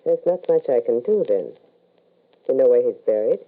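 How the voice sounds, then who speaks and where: An actor playing a wise, cautious occult medium in an old radio drama, speaking in a measured, formal, ominous way. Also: male voice, mid-range voice, animated, narrow, tinny audio, lightly acted. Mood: measured, probing. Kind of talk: 1940s radio drama acting. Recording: band-limited vintage radio recording.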